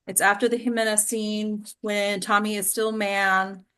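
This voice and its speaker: A woman's voice: mid-range voice, little pitch movement, measured pace, bright, forceful tone, clear, resonant tone. A woman teaching and hosting an acting class, talking casually to a small group and often checking in with questions. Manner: conversational, peppered with check-in questions like "right?".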